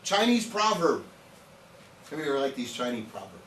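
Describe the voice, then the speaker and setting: A man's voice: medium pitch, big pitch swings, moderate pace, a bit bright, slight background noise. Man around 50, casual joking trainer telling stories to a live room, echoey hall, heard from some distance. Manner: chatty, joking, storytelling, rhetorical questions. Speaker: nutrition trainer. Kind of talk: informal lecture to a live audience.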